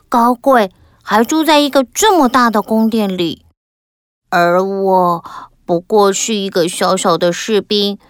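A woman's voice: mid-range voice, smooth, no echo, clean studio sound, natural voice. A woman voicing a shy one-legged male tin soldier toy in a children's audiobook, musing timidly and wistfully.

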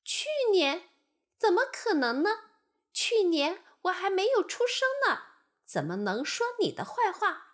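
A woman voicing a small young lamb who protests in a high, pleading voice. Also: sing-song, unhurried, full character voice. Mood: incredulous. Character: small young lamb, timid but indignant.

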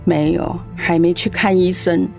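An actress playing a lonely, kindly old grandmother in a radio play, speaking slowly and gently. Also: fairly low pitch, flat delivery, creaky, over loud music. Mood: tearful. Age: older. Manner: slow, gentle, grandmotherly; tearful when upset.

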